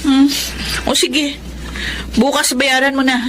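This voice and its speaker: A woman's voice: high-pitched, flat delivery, slow pace, fairly bright tone. An actress playing a self-sacrificing, motherly eldest sister in a Tagalog radio drama, matter-of-fact, with a Filipino accent. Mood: resigned. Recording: music bed under radio drama.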